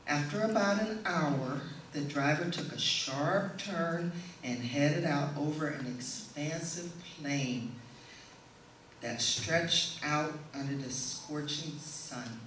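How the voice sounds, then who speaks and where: A middle-aged woman giving a prepared testimonial speech to an audience in a measured, deliberate way. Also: low-pitched, fairly bright tone. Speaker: speaker giving a presentation. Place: indoor stage in a hall, before an audience. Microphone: stand microphone, heard from a distant camera. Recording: echoey hall, distant camera, faint noise.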